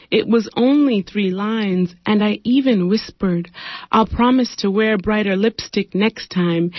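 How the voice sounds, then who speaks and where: A young adult woman poet from Nigeria, talking in a radio interview with thoughtful pauses and fillers. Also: mid-range voice, dry recording. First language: Igbo. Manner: raised, pointed delivery when reading poetry. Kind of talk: radio interview, then poetry reading. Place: radio studio. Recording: muffled dry radio studio sound.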